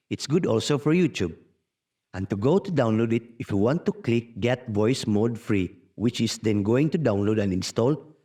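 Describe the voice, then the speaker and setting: A man narrating a casual step-by-step software tutorial in an instructional way, with non-native-sounding phrasing. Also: medium pitch. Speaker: tutorial host.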